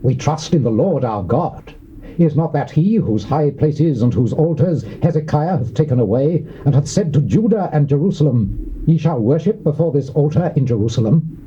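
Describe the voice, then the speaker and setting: An actor with a British accent playing a boastful, threatening Assyrian envoy, declaiming in a taunting, theatrical biblical drama style. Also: male voice, a little high, expressive, normal speed, dark tone, slightly rough. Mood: scornful challenge. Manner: declamatory, taunting, archaic oratory. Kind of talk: theatrical dramatized scripture reading. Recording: muffled old cassette with background hiss.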